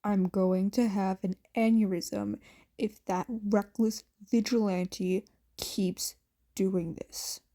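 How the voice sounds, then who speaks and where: A woman voicing a gruff, weary male hero and guardian, grumbling tersely in a story reading. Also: medium pitch, fairly even intonation, slow, dark tone, voice pushed down, natural voice. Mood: exasperated.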